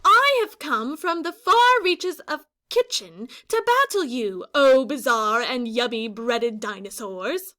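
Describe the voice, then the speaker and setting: A high-voiced warrior heroine from a children's storybook, acted out by a woman reading aloud. She is proud and fearless, and she declaims her challenges grandly, hungry for battle. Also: animated, takes it slowly, hardly any pauses, pushed and bright, heavily acted. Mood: challenging.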